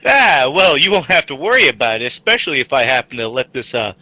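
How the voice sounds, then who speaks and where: A man's voice: medium pitch, sing-song, quick pace, sharp tone, no echo, rough recording. A man playing a tabletop game, chatting and joking casually in character and out.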